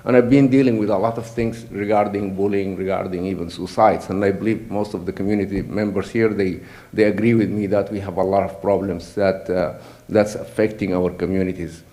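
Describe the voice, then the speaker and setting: Earnest Sudan-born man, low voice. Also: fairly even intonation, fairly quick, mellow. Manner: earnest, repeats and restarts words. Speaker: audience member at an assembly. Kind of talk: public comment at a meeting.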